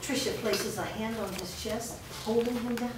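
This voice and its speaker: A woman's voice: on the high side, fairly even intonation, normal speed. A woman narrator reading scripted stage directions at a staged reading. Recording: echoey room, distant mic, clear background noise.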